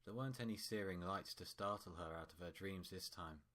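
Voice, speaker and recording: A man's voice: low voice, a little fast, fairly bright tone. A man reading scripted fiction as a storyteller, in prose narration.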